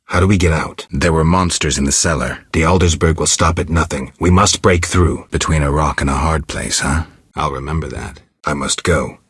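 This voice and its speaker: Super deep gravelly game hero guy, stoic weary monster hunter, deadpan, really dry studio sound. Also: moderate pace, no room sound. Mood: quiet, subdued. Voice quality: raspy, gravelly low voice. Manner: terse, deadpan, restrained. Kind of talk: video game character dialogue.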